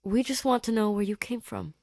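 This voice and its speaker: A woman as a gentle, earnest male scientist, pitched up. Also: brisk, vocal fry, no echo, clean studio sound. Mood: gentle, explaining. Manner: soothing, placating, slightly rambling. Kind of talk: character dialogue in audio fiction.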